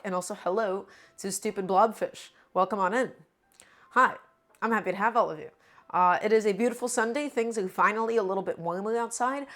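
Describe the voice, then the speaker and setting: A woman hosting a live cooking stream, slightly sick and a bit nasal, talking in an upbeat, chatty way. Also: medium pitch. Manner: upbeat, chatty, greets chat by name.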